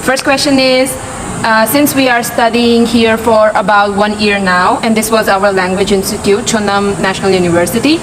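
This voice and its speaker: A woman's voice: medium pitch, moderate pace. A young woman from India with an Indian accent, hosting a casual question-and-answer chat. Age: young adult. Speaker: host of a student discussion. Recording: noisy room with steady ambient hum.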